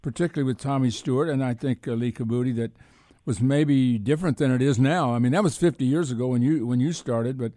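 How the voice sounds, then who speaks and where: A man chats casually as a sports radio co-host. His voice is medium in pitch and mellow, with a dry studio sound. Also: fast, dry recording.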